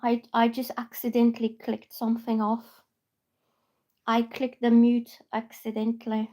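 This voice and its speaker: A woman's voice: a little high, completely flat delivery, unhurried, dark tone. A woman from Bulgaria, freshly woken up, chatting casually with viewers on a tarot livestream through her phone. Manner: chatty and meandering, reads viewer names aloud. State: just woken up. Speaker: tarot reader.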